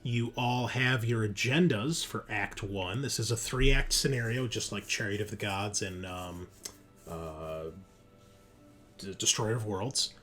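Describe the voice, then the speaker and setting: A man with a fairly low voice acts as game master for a tabletop roleplaying session. He explains in a conversational way, often pausing partway through a sentence, with music playing behind him. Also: fairly bright tone. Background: background music.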